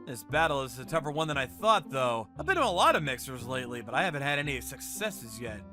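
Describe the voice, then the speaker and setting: A man's voice: medium pitch, big pitch swings, fast talker, pushed and bright. A man voicing an earnest, eccentric young man in a manga dub, with a theatrical delivery. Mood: earnest, frustrated. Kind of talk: manga dub character acting. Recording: music under the voice.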